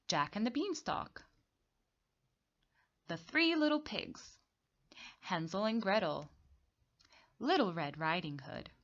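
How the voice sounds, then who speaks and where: A woman teacher leading a read-along story lesson for young children, explaining things slowly and deliberately with repetition. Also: mid-range voice, dull audio. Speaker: teacher reading aloud.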